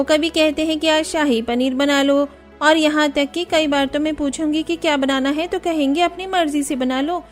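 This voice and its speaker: A woman's voice: high-pitched, lightly acted. A woman playing a chatty, emphatic young married neighbor in a cartoon story. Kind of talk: Hindi cartoon story dialogue.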